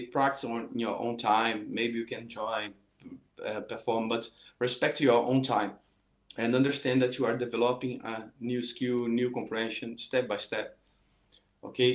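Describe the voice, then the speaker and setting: A middle-aged Brazilian man speaks English with a Portuguese accent, coaching saxophone students at a mid pitch. He talks earnestly and repeats himself, often restarting words. The room around him rings with a noticeable echo. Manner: earnest, repetitive, frequent restarts. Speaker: saxophone teacher. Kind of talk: informal online music lesson.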